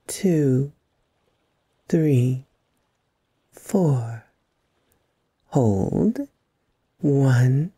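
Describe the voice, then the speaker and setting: A woman hosting a guided meditation, speaking in soothing, deliberate phrases with long pauses and a breathy softness. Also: extremely low pitch, no room sound. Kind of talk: slow guided meditation narration.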